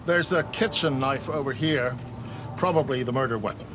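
An actor playing an easygoing American police detective in a video game, talking in a casual, matter-of-fact way. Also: male voice, medium pitch, normal speed, background noise, lightly acted. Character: easygoing male police detective briefing a colleague. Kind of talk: video game performance capture acting.

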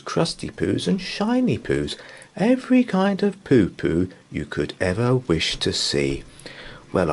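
A man's voice: lowish voice, gravelly, a little mellow, a little hiss or hum, exaggerated voice. A man voicing a flamboyant, eager showman and collector in a children's storybook, with boastful, theatrical patter. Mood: pleading.

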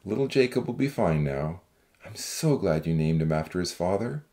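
A kind, devout man of the storybook kind, as a female narrator plays him, speaks in a low voice. He is calm and earnest, with a gentle, reassuring way of talking. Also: takes it slowly, heavily acted. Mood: gentle, tender. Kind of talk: audiobook character dialogue.

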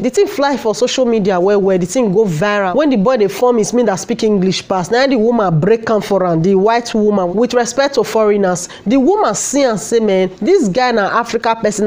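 A woman's voice: medium pitch, darkish tone. A middle-aged Nigerian woman with a Nigerian accent, talking straight into a close-held phone in a casual, preachy vlog and repeating her points. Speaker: vlogger. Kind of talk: casual to-camera monologue in Nigerian Pidgin.

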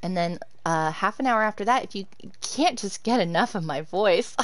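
A woman's voice: medium pitch, expressive, normal speed, dry recording. A woman streaming a game and chatting casually with her viewers, laughing often as she answers them. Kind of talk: casual live-stream chat while gaming.

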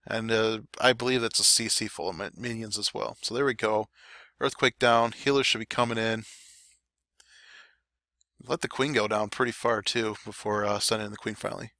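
Male game commentator, casual play-by-play, slightly dry throat, bright tone, dead-dry recording. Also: on the low side, normal speed, dry recording. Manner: relaxed, chatty play-by-play. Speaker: clan war recap commentator. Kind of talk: gameplay commentary over replays.

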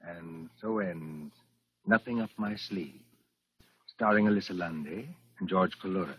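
A man giving measured, formal, scripted announcements in the style of 1940s radio. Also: low voice, breathy edge, no echo. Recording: dull vintage radio recording, loud music. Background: loud music.

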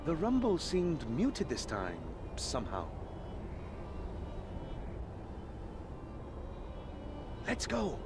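A man playing an eager, adventurous young desert companion in a video game, with a dramatic, expressive delivery. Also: fairly high pitch, unhurried. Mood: curious. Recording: game music behind the voice. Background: background music.

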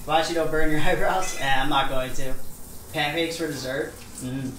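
A man with a slightly high voice hosts a casual cooking livestream. He talks informally, with the odd swear word, as he reads out comments. The room is echoey, with some kitchen noise behind him. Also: fairly even intonation, moderate pace, fairly bright tone. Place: home kitchen, indoors. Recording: roomy kitchen, clear background noise.

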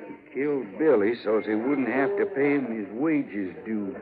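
A man's voice: fairly low pitch, measured pace, over loud music, lightly acted. Folksy American frontier skinner, man acting, old radio. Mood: dawning suspicion. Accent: rustic American. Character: plain-spoken, gritty frontier buffalo skinner. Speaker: actor in radio western. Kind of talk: 1950s radio western acting. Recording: narrow-band 1950s radio, background noise.

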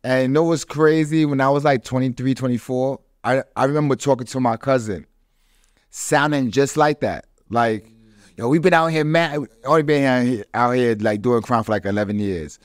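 Man, clear smooth voice, dry close mic, slangy podcast host, casual storytelling. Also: medium pitch, moderate pace, dry recording. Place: small studio with foam panels and curtains. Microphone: podcast microphone close up.

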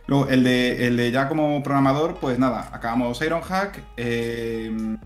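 A man's voice: medium pitch, a little slow. A man in his thirties from southern Spain, chatting casually as a guest, laughing lightly and restarting his sentences. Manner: relaxed, chuckling, many fillers and restarts. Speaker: guest software engineer and teacher. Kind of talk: casual interview chat. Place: room at home. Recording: echoey room, faint music.

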